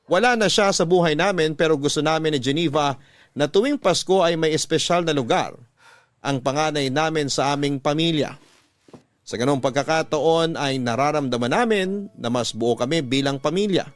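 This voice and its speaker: A man reading a heartfelt first-person story as a radio-style narrator, with sentimental, measured phrasing. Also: a little high, no echo. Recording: music bed under the voice.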